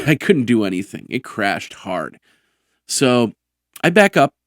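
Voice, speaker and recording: A hoarse man hosts a live tech news show from his desk mic, his voice raspy and a little worn. He talks with bouncy energy, rambling and filling gaps with "uh" and repeated words. The recording is dry and close, with no room sound. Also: mid-range voice, takes it slowly, dry recording. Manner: energetic, rambling with many fillers.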